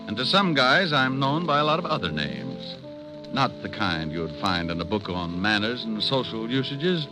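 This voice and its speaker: Wisecracking private eye in old fifties radio show, kinda deep, bouncy delivery, easygoing and slangy. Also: male voice, sing-song, moderate pace, music in the background, poor audio. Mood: wry. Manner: wry, breezy, slangy. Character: wisecracking, easygoing hard-boiled private detective. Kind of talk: 1950s radio drama acting and narration.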